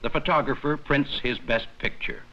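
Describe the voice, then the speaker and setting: A man reading scripted 1950s educational film narration in a measured, explanatory manner with simple, plain sentences. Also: mid-range voice, sharp tone, dull audio. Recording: worn, noisy 1950s 16mm film soundtrack.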